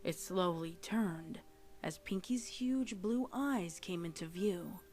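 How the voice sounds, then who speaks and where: Lowish-voiced woman narrating dark fiction. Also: slow pace, soft background music. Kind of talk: dramatic scripted horror story narration.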